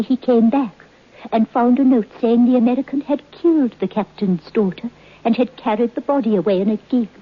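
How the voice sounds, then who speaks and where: An actress playing a tiny, shrewd, knowing seamstress who tells old gossip as a deliberate, dramatic radio storyteller. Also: female voice, a little high, husky. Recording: tinny old radio recording.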